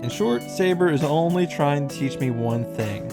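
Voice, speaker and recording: A man's voice: a little high, animated, moderate pace, vocal fry. A man giving blunt, mocking, sweary reaction commentary on a visual novel. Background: game music.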